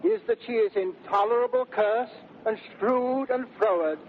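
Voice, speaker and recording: An actor playing a genial, excitable gentleman suitor with courtly, theatrical delivery in 1930s radio Shakespeare, on a tinny, noisy recording. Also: high voice, moderate pace, natural voice. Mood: confiding. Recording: very tinny vintage radio recording, faint noise.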